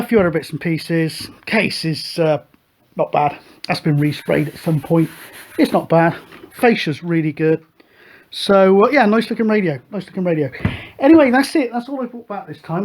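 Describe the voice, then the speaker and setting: A middle-aged man talks through his radio gear in a loose, rambling way, dotting his speech with "uh" and "so". His voice sits fairly high for a man. He sounds relaxed and unscripted, like a hobbyist chatting to regular viewers. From United Kingdom. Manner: relaxed, rambling, many fillers. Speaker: radio hobby show host. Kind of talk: casual show-and-tell chat.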